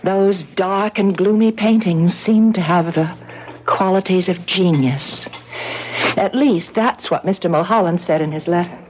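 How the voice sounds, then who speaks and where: A warm, loyal gallery woman, played by an actress in a vintage radio drama. The delivery is earnest and expressive, with an encouraging temper. The sound is dull and lo-fi. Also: lowish voice, measured pace, a little hiss or hum, lightly acted. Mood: reflective.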